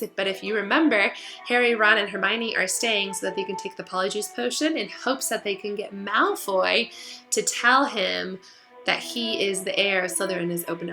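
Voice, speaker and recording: A woman's voice: mid-range voice, pushed and bright, poor audio. A woman teacher reading a story aloud to children in an encouraging, emphatic way. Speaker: elementary school teacher reading aloud to students.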